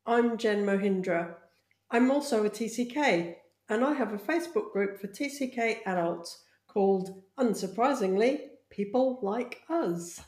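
A woman's voice: medium pitch, expressive, unhurried, darkish tone, slightly rough, no room sound. Woman podcast host, dry close sound. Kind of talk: interview hosting.

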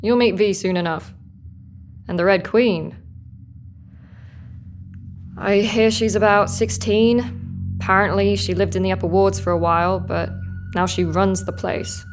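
An actress playing a guarded, steady rebel guard with a measured, terse delivery in a sci-fi audio drama. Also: female voice, medium pitch, soft background music, close to an everyday voice.

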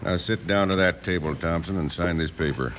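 An actor playing a ruthless, scheming western villain, gruff and commanding, in 1950s radio drama style. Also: male voice, deep voice, brisk, close to an everyday voice. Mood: threatening. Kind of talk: 1950s old time radio western acting. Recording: noisy old radio show recording.

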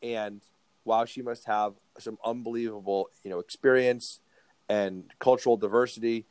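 A man's voice: on the low side, fairly even intonation, normal speed, darkish tone, clean tone, no room sound. A man hosting a podcast interview, talking casually and often restarting and repeating words. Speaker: podcast host.

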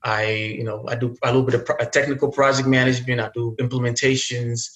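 A man's voice: mid-range voice, fast talker. Man from South Sudan, echoey room. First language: Arabic. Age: 30s. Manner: relaxed, chatty, many fillers and restarts. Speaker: guest investor. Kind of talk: casual interview.

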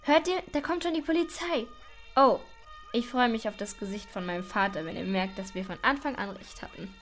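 A woman playing a bold, eager child detective in a children's audio drama, lively and commanding. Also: a little high, brisk, soft background music, natural voice. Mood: excited.

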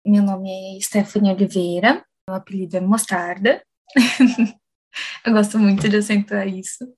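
A woman in her twenties, a university student, speaking reflectively about her studies with thoughtful pauses. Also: medium pitch, fairly even intonation, a little mellow, slightly rough. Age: 20s. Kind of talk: testimonial interview.

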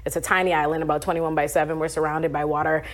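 A woman from the Bahamas talks quickly in a conversational panel discussion. She is diplomatic and somewhat tentative in how she puts her view, with a pitch a touch under average for a woman. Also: flat delivery. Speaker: panelist on a political talk show.

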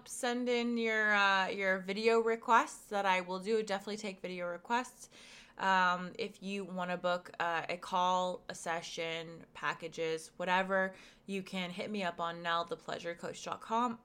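Woman host, bright voice, a bit worn, chatty and frank, lots of um and like. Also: medium pitch, clear, resonant tone. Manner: chatty, frank, many fillers. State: losing her voice. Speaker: podcast host and pleasure coach. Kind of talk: casual podcast monologue.

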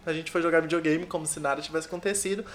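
A man's voice: on the high side, steady tone, racing. A man, teasing and joking as a podcast co-host. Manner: teasing, jokey. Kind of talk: casual chat. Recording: loud laughter of co-hosts behind.

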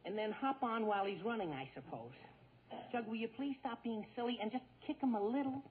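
A man's voice: very high-pitched, a little fast, slight background noise. A man playing an eager, bossy, exasperated teenage boy in 1940s radio comedy, pleading and exclaiming. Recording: tinny 1940s radio broadcast.

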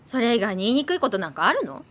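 An actress playing a sardonic, resentful screenwriter daughter in a naturalistic Japanese radio drama, blunt and quick to retort. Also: female voice, fairly high pitch, sing-song, fairly bright tone. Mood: sarcastic, irritated. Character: sharp-tongued, resentful screenwriter daughter of a film director.

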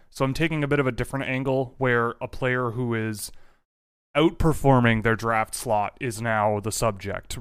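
A man's voice: medium pitch, smooth, dry recording, clean studio sound. A young man hosting a casual hockey livestream, talking off the cuff with lots of fillers and restarts. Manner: rambling, many fillers and restarts. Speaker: hockey prospect livestream host.

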